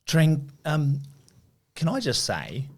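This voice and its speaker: A man's voice: a little high, huge pitch swings, takes it slowly. A man co-hosting a podcast, chatting casually with a joking, self-deprecating manner. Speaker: podcast co-host. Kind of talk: casual chat.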